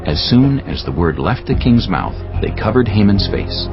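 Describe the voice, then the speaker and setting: A man with a very low, expressive voice delivers solemn scripted scripture narration. His pitch moves widely. Music is clearly present under a slightly muffled recording. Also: moderate pace. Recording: muffled audio with prominent music.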